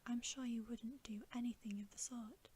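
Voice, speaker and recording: A woman's voice: a little high, steady tone, normal speed, a little mellow, natural voice. A woman voicing an anxious, kind-hearted little girl in a whispered ASMR storybook reading. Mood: concerned, earnest. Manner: whispered, earnest, concerned. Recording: faint background hiss.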